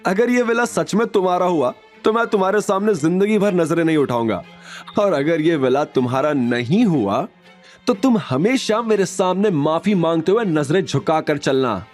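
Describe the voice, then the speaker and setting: A man's voice: fairly high pitch, lively intonation, moderate pace, pitched above the performer's own voice, close to an everyday voice. A man voicing a mocking, arrogant young bully in an audio drama, taunting and indignant with exclamations. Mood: cocky taunting. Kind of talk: dramatized Hindi audio story acting. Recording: music behind.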